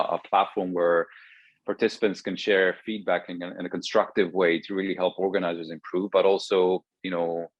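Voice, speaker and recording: Deep-voiced Greek-raised man, muffled call audio. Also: no room sound. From Greece. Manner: hesitant, many restarts and fillers. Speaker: guest, startup co-founder. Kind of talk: remote interview conversation. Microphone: video call.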